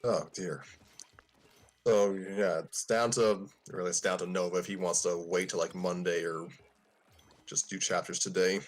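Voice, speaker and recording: A man with a fairly low voice hosts a casual story-reading group. He talks informally with co-hosts, with faint music playing behind his voice. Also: steady tone, moderate pace. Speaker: podcast host reading fan fiction.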